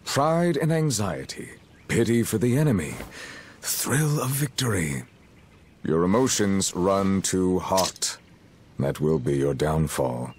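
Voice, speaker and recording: A man voicing a stern, calm swordsman mentor in a video game, measured and instructive. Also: low-pitched, a little hiss or hum, exaggerated voice. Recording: music under the dialogue.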